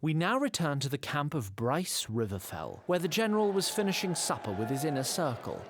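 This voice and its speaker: A man's voice: on the high side, big pitch swings, a little fast, a little hiss or hum. A man narrating a fantasy audio drama in a wry, formal storytelling manner.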